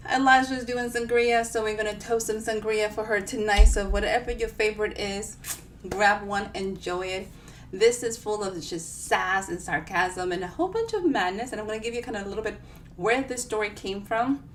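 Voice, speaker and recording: A woman author hosting a casual live book reading, chatting to viewers in a playful, self-deprecating way. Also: medium pitch. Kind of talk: casual livestream chat to viewers. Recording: distant mic with clear background noise.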